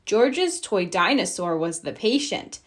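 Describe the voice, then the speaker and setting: A woman reading a children's storybook aloud in an expressive, deliberate storytelling style. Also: mid-range voice. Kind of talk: read-aloud scripted narration.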